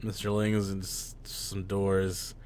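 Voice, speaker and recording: A man hosting a podcast, chatting casually while gaming, rambling and self-mocking, with a hoarse, stuffy voice from losing it. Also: deep voice, completely flat delivery, a little slow, a little mellow, slight background noise. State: losing his voice, stuffy sinuses. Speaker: podcast host.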